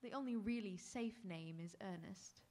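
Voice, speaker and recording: A woman's voice: mid-range voice, moderate pace, darkish tone, natural voice. A woman acting in live radio theatre as a poised, romantic and self-assured young Victorian lady with an affected manner. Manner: affected, decisive, genteel.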